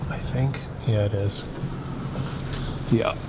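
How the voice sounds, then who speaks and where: Slow-talking man, often whispering. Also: on the low side, steady tone. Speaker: urban explorer vlogger. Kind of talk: casual exploring commentary. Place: huge empty abandoned warehouse indoors. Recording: loud wind and site noise.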